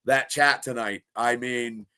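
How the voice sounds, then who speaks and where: A man co-hosting a sports podcast, talking in excitable, rambling bursts full of restarts, with a stuffy nose. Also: medium pitch, takes it slowly, clean tone. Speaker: podcast co-host. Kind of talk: casual sports podcast chat.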